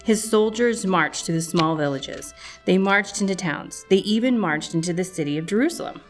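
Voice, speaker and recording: A woman narrates a children's story from a script. Her voice sits a little low for a woman, and soft music plays behind her. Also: lively intonation, normal speed.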